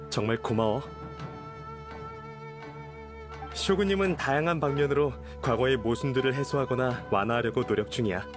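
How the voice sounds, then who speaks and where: A composed young nobleman from a video game, played by a male actor, with a fairly high male voice. He explains things formally and courteously, in a measured, reassuring way, over background music. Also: lightly acted. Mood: cordial, grateful. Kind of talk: game voice acting. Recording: music behind the voice.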